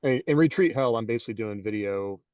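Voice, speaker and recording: A man co-hosting a podcast panel, reflecting in a measured, casual way on life in the Army. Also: on the low side, expressive, darkish tone, no echo. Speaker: podcast co-host, Army veteran author. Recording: dull, dry lo-fi mic.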